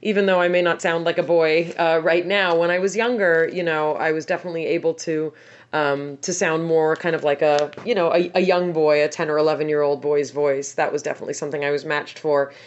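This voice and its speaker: A woman with a low, scratchy voice talks through an interview about her voice work. She fills her sentences with "you know" and "um" in an easy, conversational way. The recording sounds a little dull and lo-fi. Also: fairly even intonation, fairly quick. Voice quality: rough, scratchy voice. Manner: chatty, with many fillers. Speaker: interviewed guest, voice actor. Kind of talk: relaxed interview answers.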